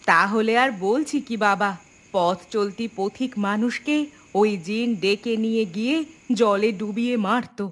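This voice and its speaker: A woman voicing a mother in a cartoon, gravely recounting village ghost tales in a knowing way. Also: mid-range voice, unhurried, lightly acted. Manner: grave, explanatory storytelling. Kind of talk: animated story dialogue.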